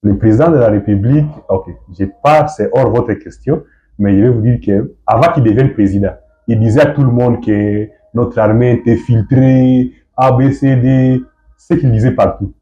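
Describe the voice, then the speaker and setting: A man from Goma with a Congolese accent, giving halting political answers in an interview, often repeating and rephrasing himself. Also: medium pitch, warm tone. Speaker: activist guest being interviewed. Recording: dull, muffled lo-fi sound.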